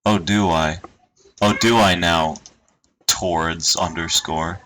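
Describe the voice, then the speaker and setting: A man streaming, chatting casually and reading posts aloud in a dry, monotone way. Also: deep voice, takes it slowly. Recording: noisy home stream recording.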